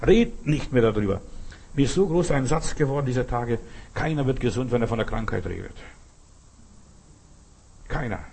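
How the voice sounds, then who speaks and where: A male preacher with a southern German accent delivers an informal sermon. His voice is husky and sits at an average pitch. He talks in everyday words, repeats phrases for emphasis and addresses listeners directly with short check-in questions. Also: a little mellow.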